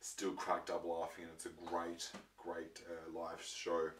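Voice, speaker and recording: A young man with a croaky voice from a throat infection, chatting casually to his viewers with lots of ums and restarts. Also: low voice, monotone, unhurried, a bit bright. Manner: rambling, many ums and restarts. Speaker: vlogger showing his disc collection. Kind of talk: casual chat to camera. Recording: roomy, mic far off, faint noise.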